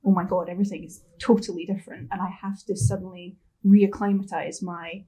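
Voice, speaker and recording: A woman's voice: mid-range voice, little pitch movement, brisk, mellow, husky. A woman from Scotland speaking on a panel, hesitant and thinking aloud with many restarts. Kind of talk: panel discussion.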